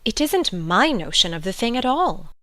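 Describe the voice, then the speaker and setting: A helpless old queen from a storybook, played by a man, muddled and forever fretting. The voice sits high and wavers in wide swoops. It is thin and breathy, with a plaintive, melancholy air. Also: sing-song, moderate pace, bright, forceful tone, full character voice. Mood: fretful, helpless. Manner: plaintive, sighing, dotty.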